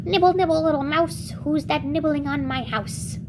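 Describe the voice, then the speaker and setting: A woman's voice: sits high, moderate pace, background noise, pitched above the performer's own voice, natural voice. A woman voicing a creepy, sugary old witch in a storybook tale, with a singsong, coaxing manner. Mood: singsong, menacing.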